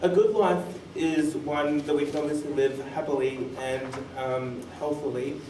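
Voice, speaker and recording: Male moderator, slightly high voice, live panel podcast; roomy sound, off-mic. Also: fairly even intonation, normal speed. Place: conference room, live event. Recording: echoey room, distant mic, faint noise.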